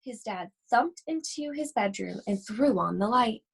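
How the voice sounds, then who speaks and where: Casting: female storybook reader, higher-pitched, unhurried, expressive and suspenseful delivery for young listeners. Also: gravelly, background noise. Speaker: school library read-aloud host. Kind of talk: expressive children's storybook read-aloud.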